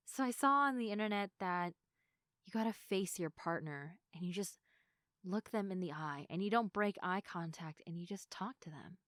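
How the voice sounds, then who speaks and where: A young woman playing a sleepy, affectionate girlfriend in an intimate sleep-aid roleplay, speaking softly and tenderly to the listener in a giggly, hesitant way. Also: lowish voice, expressive, moderate pace, dry recording, studio quality. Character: sleepy, affectionate girlfriend, gentle, playful and sincere. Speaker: audio roleplay performer.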